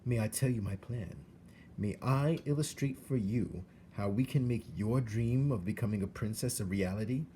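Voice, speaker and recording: A man's voice: lowish voice, normal speed, warm tone, a little hiss or hum, close to an everyday voice. A man voicing a scheming male rat in a storybook, with courtly, coaxing, over-polite speech. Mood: scheming, flattering. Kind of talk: storybook character voice.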